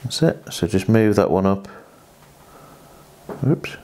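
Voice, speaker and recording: A man in his thirties, a fishing coach, showing how to tie a rig at a kitchen table in a chatty, halting way full of restarts and tag questions, on a lapel mic. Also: deep voice, mellow, slight background noise. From England. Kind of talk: hands-on demonstration chat. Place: indoors, small home kitchen table.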